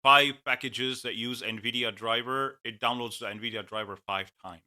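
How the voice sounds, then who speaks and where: A man's voice: mid-range voice, fairly even intonation, normal speed, pushed and bright. A man co-hosting a casual tech podcast, talking hesitantly with many fillers and frequent laughs. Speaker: podcast co-host.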